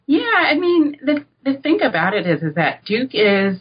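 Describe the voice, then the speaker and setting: A woman's voice: high voice, sing-song, normal speed, muffled recording, a little hiss or hum. An American woman answering interview questions as an academic guest, in a reflective, informal, explanatory way. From United States (North Carolina). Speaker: guest, author and political science academic.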